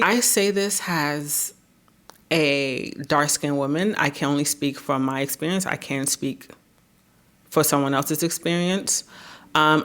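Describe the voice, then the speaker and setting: A woman with a naturally deep voice talking to camera in an earnest, measured way, often checking in with "right?". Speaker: YouTube creator. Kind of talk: reflective talking-head commentary.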